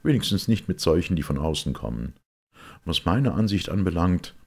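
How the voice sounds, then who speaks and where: A man voicing a gloomy, anxious young secretary in an audiobook, troubled and hesitant, with a husky voice. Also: low voice, lively intonation, normal speed, darkish tone, pitched above the performer's own voice, heavily acted. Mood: guarded.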